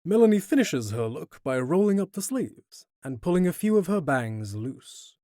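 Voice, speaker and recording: A male narrator of an audio drama, reading scripted scene descriptions. His voice sits a little higher than most men's. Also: very animated, moderate pace, dark tone, dry recording.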